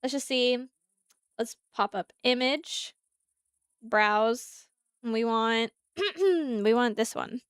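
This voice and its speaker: A woman's voice: a little high, takes it slowly, pauses often, smooth, no room sound, studio quality. A woman streaming a game live, chatting to her viewers and the game's animals in a rambling way, slightly nasal from congested sinuses. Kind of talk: casual live gameplay chat. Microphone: desk mic at a computer.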